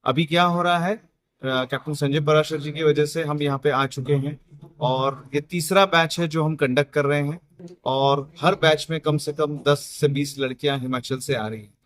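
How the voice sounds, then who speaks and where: A man's voice: fairly high pitch, fairly even intonation, moderate pace, fairly bright tone. A man with an Indian accent giving an interview statement as an institute principal, mixing in English terms. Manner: mixes English terms into speech.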